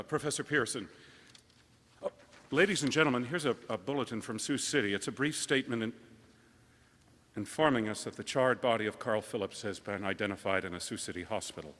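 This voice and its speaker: A male actor plays an earnest radio newsman delivering urgent bulletins in an old-fashioned drama, halting as he goes. His mid-pitched voice is forceful and bright. A long reverb surrounds it. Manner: hesitant, urgent announcing. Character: flustered, earnest radio news announcer reading urgent bulletins. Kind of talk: 1930s-style radio drama acting.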